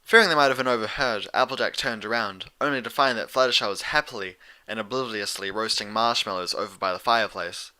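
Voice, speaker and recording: A man's voice: fairly low pitch, quick pace, few pauses, bright, forceful tone. A man reading scripted story narration as the narrator. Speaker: narrator and reader.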